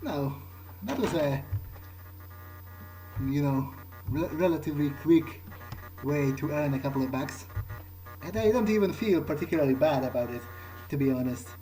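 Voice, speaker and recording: A man's voice: a little high, normal speed, warm tone, poor audio. A man giving in-character commentary on a video game, thinking aloud hesitantly. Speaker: gamer roleplaying in character. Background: game music.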